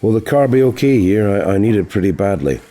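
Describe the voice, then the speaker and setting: Man playing a weary, husky-voiced ex-actor. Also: fairly low pitch, expressive, quick pace, warm tone, voice pushed up. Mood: worried. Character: weary, anxious male ex-actor, old friend of the mechanic.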